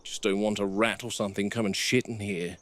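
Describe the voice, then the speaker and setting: A man playing a wary, probing game warden in a found-footage horror film, speaking in a measured, plain-spoken way. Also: fairly low pitch, fairly even intonation, fairly bright tone, no echo, close to an everyday voice. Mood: casual.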